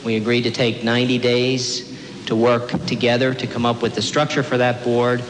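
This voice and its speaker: A man's voice: medium pitch, monotone, moderate pace. A man making official remarks at a public meeting in a reverberant room. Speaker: transit director. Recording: very echoey hall, background noise.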